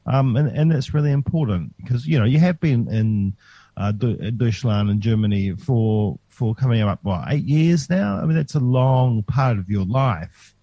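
A man's voice: medium pitch, normal speed, mellow, no echo, lo-fi sound. A man interviewing a musician, casual and encouraging, often affirming with "yeah" and "you know".

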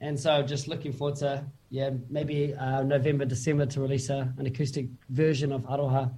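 A man's voice: mid-range voice, level tone, fairly quick, dark tone, low-quality recording. A man with a New Zealand accent, a musician chatting casually as an interview guest, laid-back and full of slang and fillers.

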